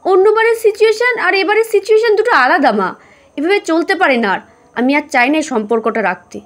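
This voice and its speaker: A woman's voice: very high voice, animated, brisk, voice pushed up. A woman voicing a proud, emotional young daughter in love in an audio story. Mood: indignant, stubborn. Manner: emotional, stubborn.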